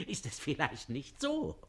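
Sly, husky, lively gossip. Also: male voice, a little high, heavily acted. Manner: chuckling, oily, insinuating. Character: sly, insinuating male gossip and toady. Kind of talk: German radio play acting.

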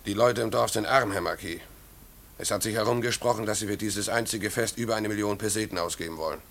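Quick, low-voiced earnest villager. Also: male voice, creaky, fairly bright tone. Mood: earnest, reproachful. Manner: solemn, respectful, firm. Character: earnest, blunt village man, respectful but defiant. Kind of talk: 1950s German radio play acting.

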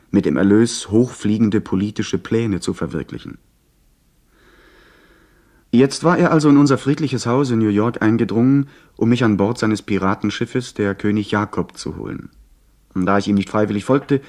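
An actor playing an earnest young gentleman who narrates his adventure in a measured, literary way for a radio play. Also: on the low side, close to an everyday voice. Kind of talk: scripted first-person radio play narration.

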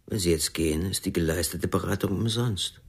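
Radio crime play: businesslike therapist, deep voice, formal, curt, unyielding. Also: male voice, brisk, vocal fry, husky, full character voice. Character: cool, businesslike, unyielding male psychologist.

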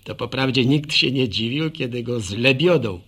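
An actor playing a folksy older village man with a rural Polish accent, telling a wry, rambling radio drama monologue in a gravelly voice. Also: mid-range voice, moderate pace, fairly bright tone. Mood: wry, amused.

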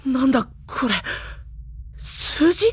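An actor playing a level-headed, politely exasperated teenage schoolboy in an audio drama, both in dialogue and introspective narration. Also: male voice, very high-pitched, animated, takes it slowly, full character voice. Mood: alarmed, panicked. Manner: polite speech to his senior, dry retorts. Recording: loud background music under the voice. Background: music.

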